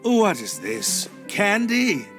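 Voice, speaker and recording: An actor playing a flustered young nobleman in an animated game, halting, formal and pompous as he tries to save face. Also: high voice, over loud music, exaggerated voice. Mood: uneasy.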